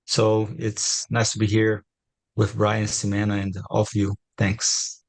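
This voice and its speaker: A man with a Brazilian Portuguese accent co-hosts a webinar on a video call, his low voice rough with gravelly fry. He talks in a halting way, full of "uh"s and restarts. The sound is dry and close. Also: monotone, no echo. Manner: halting, many fillers and restarts. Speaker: webinar co-host, innovation trainer. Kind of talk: webinar presentation.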